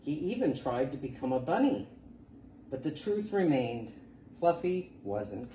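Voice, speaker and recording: A woman reading a children's picture book aloud as a storytime reader, expressive and deliberate. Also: rumbling low. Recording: roomy, distant mic, faint background noise.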